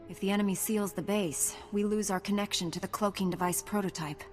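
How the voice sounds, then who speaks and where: A composed Jedi knight heroine played by a woman in a video game cutscene. She is polite, assured and decisive, and music plays clearly behind her. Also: mid-range voice, steady tone, fairly quick, darkish tone, natural voice. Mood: composed, resolute. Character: poised, polite female Jedi knight hero.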